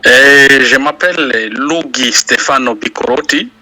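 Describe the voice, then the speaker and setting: A man answers questions at an unhurried, halting pace, filling gaps with drawn-out hesitations. His voice is very bright and sharp, in a middling register. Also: mid-range voice. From DR Congo, South Kivu. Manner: slow, hesitant, many fillers. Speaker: interview guest, university lecturer. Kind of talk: interview answers.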